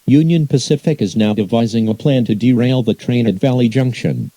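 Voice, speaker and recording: A man's voice: mid-range voice, fairly quick, warm tone, no room sound. A man reading scripted emergency alerts as an announcer, in a formal, impersonal, synthetic-sounding delivery.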